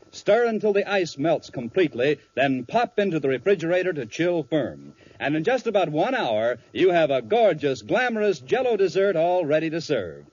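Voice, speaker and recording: Old timey radio ad guy, upbeat salesman, very lively pitch, muffled 50s recording. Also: slightly rough. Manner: enthusiastic, polished salesmanship. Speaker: radio announcer. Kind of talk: 1950s radio advertisement read.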